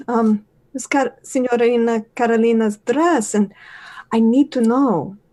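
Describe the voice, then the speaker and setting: A woman voicing a coy, flattering noblewoman at a party, overly polite and sweet. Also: high-pitched.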